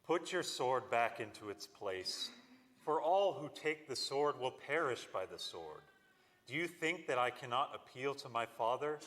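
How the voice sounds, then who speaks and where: A man gives voice to a grave, measured holy teacher in a dramatic church reading of scripture. His mid-pitched voice rings with long reverb in a large room. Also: fairly bright tone. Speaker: reader in a church passion reading. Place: church service.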